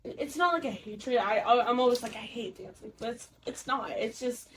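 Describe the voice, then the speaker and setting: A woman's voice: fairly high pitch, normal speed. A teenage girl and theater student chatting casually with friends, with many fillers and restarts. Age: teens. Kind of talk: casual chat. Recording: distant mic, faint room noise.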